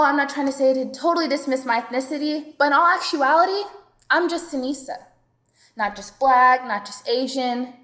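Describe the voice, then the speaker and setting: A woman performs spoken-word poetry in a high voice, her delivery rhythmic and assertive. She sounds defiant as she makes her point. Her lines echo around a roomy space. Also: a bit bright.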